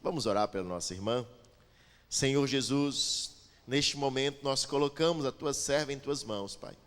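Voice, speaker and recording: A man's voice: mid-range voice, moderate pace, fairly bright tone, big-room sound. A man pastor introducing a guest preacher and leading a fervent prayer to the congregation, repeating invocations of the Father and God. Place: church sanctuary with a PA.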